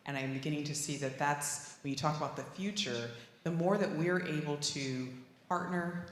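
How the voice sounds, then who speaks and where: A very low-voiced woman answers on a conference panel, chatty and full of "you know"s. The sound is roomy, with a long hall reverb. Faint noise sits behind her. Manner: conversational, lots of "you know" fillers. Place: conference room.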